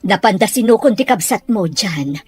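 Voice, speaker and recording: A worried, indignant mother running a household in an audio drama, played by a woman. Her emphatic voice is husky and mid-pitched, with lively leaps of melody, recorded very dry. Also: moderate pace, dry recording, soft background music. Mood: anxious. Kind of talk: amateur audio drama acting.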